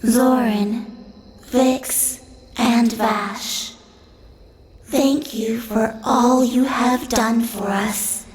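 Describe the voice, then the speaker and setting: A woman's voice: a little high, fairly even intonation, very slow. A woman playing a gracious, solemn elven throne ruler, speaking formally and ceremonially in a fantasy audio drama. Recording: roomy echo, music underneath.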